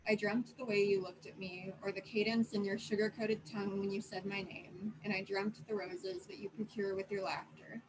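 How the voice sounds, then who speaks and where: Woman, poetry reading, soft unhurried voice, noisy call audio. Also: medium pitch, flat delivery. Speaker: poet. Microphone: video call.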